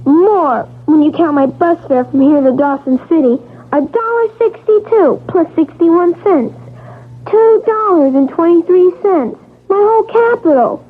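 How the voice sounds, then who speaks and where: An actress playing a poor, earnest, stubborn little child in a plaintive, insistent way, in old-time radio drama style. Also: female voice, very high voice, lively intonation, a little slow. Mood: hurt, defiant. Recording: muffled, noisy 1970s radio recording.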